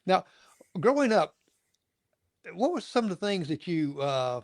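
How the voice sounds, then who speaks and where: A man's voice: sits high, big pitch swings, a little slow, no room sound, studio quality. A middle-aged or older man hosting a casual interview, talking in a rambling, folksy way and stammering over repeated words. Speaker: show host interviewing a guest.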